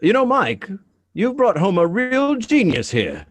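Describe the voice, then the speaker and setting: Man as husky, genial gardening father. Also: sits high, wildly swooping pitch, a little slow, no room sound, heavily acted. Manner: friendly, inquisitive. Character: genial, middle-aged widowed father who gardens. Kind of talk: live script reading of a radio drama.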